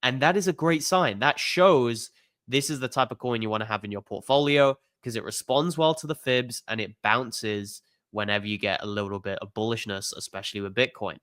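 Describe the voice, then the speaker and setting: A man with the flu walks through crypto charts in a relaxed, chatty monologue, tossing in "you know" and "okay?" as he goes. His voice stays smooth and resonant despite the illness. The sound is dry and close, with no room echo. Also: mid-range voice, moderate pace. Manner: conversational, filler-heavy, "you know" and "okay?" tags. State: has the flu, voice a bit off. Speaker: crypto channel host. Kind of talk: casual chart analysis monologue.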